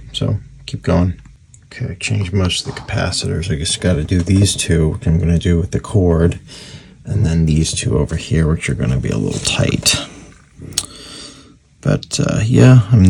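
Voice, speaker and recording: A man giving casual, hands-on repair commentary in a gravelly, husky voice, thinking aloud informally as he works. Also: deep voice, darkish tone, a little hiss or hum. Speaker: radio repairer presenting his restoration.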